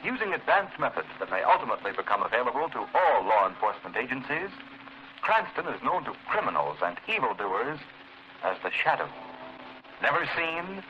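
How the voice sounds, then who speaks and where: A man's voice: mid-range voice, sing-song, a little fast, natural voice. A man playing a solemn announcer, narrating a 1930s radio crime serial in a dramatic style. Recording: muffled, hissy 1930s radio recording.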